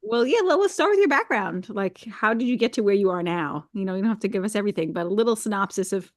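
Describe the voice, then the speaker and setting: A woman's voice: medium pitch, expressive, fast talker, dry recording, clean studio sound. A woman hosting a podcast, introducing a guest in a bubbly, enthusiastic way. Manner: bubbly, enthusiastic, laughs often. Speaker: podcast host. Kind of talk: casual podcast chat.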